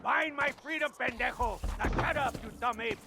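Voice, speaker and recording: An actor playing an arrogant, frantic villain who barks insults in a western video game. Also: male voice, very high-pitched, moderate pace. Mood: frantic, scornful. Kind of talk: video game cutscene acting. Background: music.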